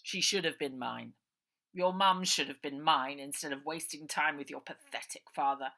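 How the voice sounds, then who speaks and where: Woman acting a menacing, forceful villainous uncle. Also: deep voice, moderate pace, creaky, exaggerated voice. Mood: bitter, contemptuous. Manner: mock-polite, sneering, forceful. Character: menacing, contemptuous villainous uncle, cold and commanding.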